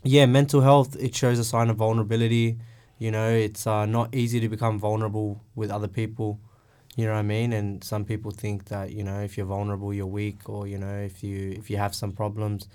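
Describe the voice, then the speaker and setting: A young man from Australia, a guest on a podcast panel who talks in a relaxed way and keeps saying "you know". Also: on the low side, fairly quick, clear, resonant tone. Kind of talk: casual panel discussion.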